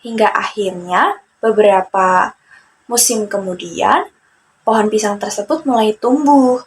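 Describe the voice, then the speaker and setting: Young female storyteller for kids' fable, slow delivery, slightly high, faint background noise. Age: young adult. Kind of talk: children's fable narration, addressing listeners.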